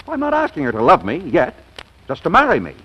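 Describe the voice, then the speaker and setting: Male, flustered bossy executive, stammering, husky, hugely swooping pitch, vintage radio comedy. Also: wildly swooping pitch, quick pace, bright, forceful tone, full character voice, low-quality recording. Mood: awkward, insistent. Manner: stammering, abrupt. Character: flustered, bossy businessman pushed into hasty marriage. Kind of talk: 1940s radio comedy acting.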